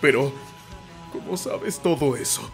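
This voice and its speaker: A man playing an elderly butler, formal and expressive, by turns indignant and bewildered, in an animated fan film. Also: fairly high pitch, a little slow, vocal fry, faint music behind. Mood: confused, reluctant.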